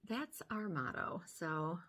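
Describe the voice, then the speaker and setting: An older woman with a slightly hoarse voice, gently talking through a makeup tutorial step by step, filmed close on a phone at home. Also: lowish voice, big pitch swings, slow pace. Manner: gentle, step-by-step explaining while applying makeup. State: hoarse from sinus trouble. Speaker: beauty vlogger. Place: small room at home, indoors. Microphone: phone camera close up.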